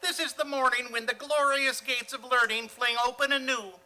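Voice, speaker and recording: A man's voice: extremely high pitch, steady tone, normal speed, pushed and bright, heavily acted. A man playing an eager, indignant teenage schoolboy in an old-time style radio comedy, speaking in an earnest, overdramatic way. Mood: grandly theatrical.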